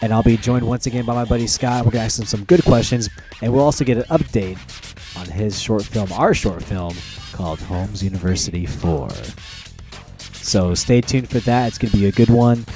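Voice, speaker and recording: A man hosts a casual podcast with a low, mellow voice. He talks informally, in buddy-like banter. The recording has a roomy echo with faint music. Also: normal speed. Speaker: podcast host. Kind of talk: casual chat.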